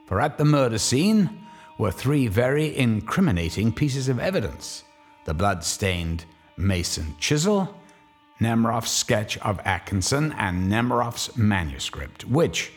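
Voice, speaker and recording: A man's voice: on the low side, breathy edge. A man hosting and narrating a horror radio anthology with ominous, theatrical delivery. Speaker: host and narrator. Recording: studio narration over music.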